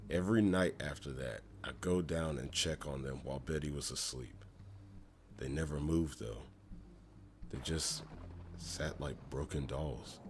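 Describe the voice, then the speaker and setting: A man voicing a weary, guilt-burdened man in an amateur comic dub, speaking in a halting, somber way over music. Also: extremely low pitch, background noise. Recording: music behind the voice.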